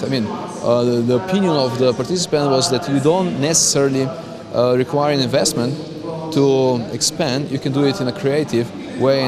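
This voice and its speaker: A man with a Slovenian accent answering interview questions as a company founder, halting as he searches for words. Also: mid-range voice. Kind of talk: interview answers at a business conference. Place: crowded conference venue. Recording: busy event with loud crowd chatter. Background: loud crowd chatter.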